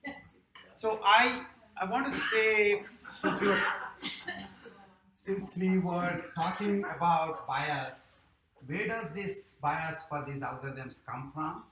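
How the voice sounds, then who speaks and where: A man's voice: fairly high pitch, pushed and bright. A man with an Indian accent giving a conversational public lecture, full of "you know" and "um" fillers. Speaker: invited lecturer. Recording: echoey hall with faint noise.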